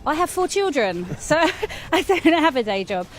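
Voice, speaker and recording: A woman's voice: sits high, sing-song, measured pace, soft background music. Woman, wry answers. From West Sussex. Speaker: competitor interviewee. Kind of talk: short interview answers.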